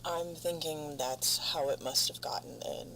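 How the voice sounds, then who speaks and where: A woman playing a frightened, foul-mouthed stranded spaceship crew member, recording halting, weary log entries in an audio drama. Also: low-pitched, fairly even intonation, fairly bright tone, slight background noise, poor audio. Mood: fearful, resigned.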